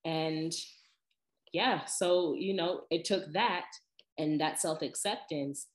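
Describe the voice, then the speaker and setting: Deep-voiced young woman, chatty and echoey. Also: slow. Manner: chatty, laughing, expressive asides. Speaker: vlogger. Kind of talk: casual personal storytelling to camera.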